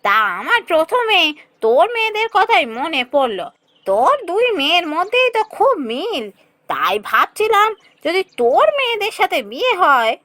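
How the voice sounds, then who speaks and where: A woman's voice: extremely high pitch, sing-song, normal speed, fairly bright tone, dry recording, exaggerated voice. A woman voicing a chatty, persuasive village matchmaker in a cartoon fairy tale, with a coaxing way of talking. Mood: explaining, persuasive.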